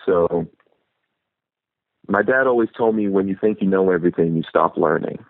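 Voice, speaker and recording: A man's voice: deep voice, moderate pace, vocal fry, no room sound. A man who grew up in New York City, a YouTuber guest chatting easygoing and reflective in an interview. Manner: easygoing, reflective, rambling with restarts. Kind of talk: casual interview chat. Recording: tinny phone line.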